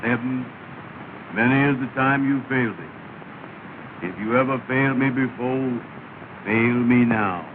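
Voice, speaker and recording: An actor playing a slow-witted, self-important lodge member with folksy, ungrammatical speech in a 1930s comedy film. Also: male voice, medium pitch, close to an everyday voice. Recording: scratchy, narrow-band 1930 film soundtrack.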